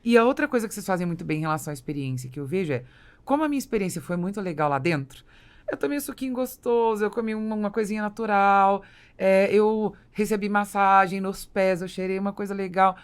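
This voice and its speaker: A woman's voice: mid-range voice, fast talker, smooth. A woman with a Brazilian accent hosting a casual business podcast, talking emphatically and explaining through personal examples. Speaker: podcast host.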